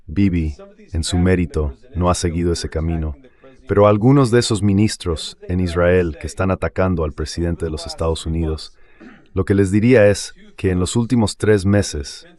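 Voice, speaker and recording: A man's voice: low voice, darkish tone. A man's synthetic AI voice delivering translated political remarks as a dub. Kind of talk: dubbed press remarks.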